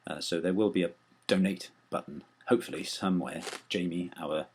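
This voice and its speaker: A man's voice: low-pitched, brisk, a little hiss or hum. A man giving a chatty, self-deprecating appeal on behalf of a small theatre company. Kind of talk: informal spoken appeal.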